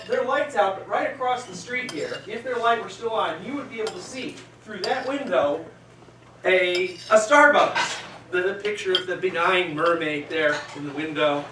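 A man's voice: high-pitched, recorded from a distance, background noise. A man moderating a meeting and giving an off-the-cuff rebuttal speech in a restaurant, rambling and chatty with lots of fillers and asides. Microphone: handheld mic set down, voice carrying from a distance. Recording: echoey restaurant room, picked up from afar.

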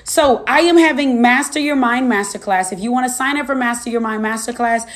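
A woman's voice: a little high, fairly quick, echoey room. A woman in her twenties, a minister and life coach, preaching her testimony to viewers in an emphatic, repetitive cadence. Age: 20s.

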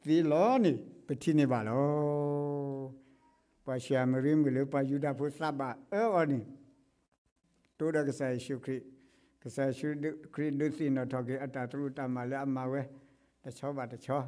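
A middle-aged man preaches with a Karen accent, his voice sitting fairly high for a man. He swings between ringing exclamations and hushed, earnest passages while gesturing. He speaks close to a stand mic on a church stage. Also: flat delivery, unhurried. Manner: impassioned, swinging between loud exclamations and quiet speech, gesturing. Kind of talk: sermon. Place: church stage before blue curtain. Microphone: stand mic close to mouth.

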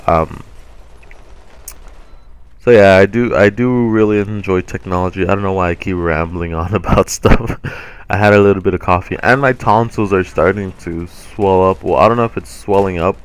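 Deep, smooth-voiced young man rambling. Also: normal speed. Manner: rambling, chatty, many ums and restarts. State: sore throat from swollen tonsils. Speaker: gaming channel host. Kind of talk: rambling gameplay commentary.

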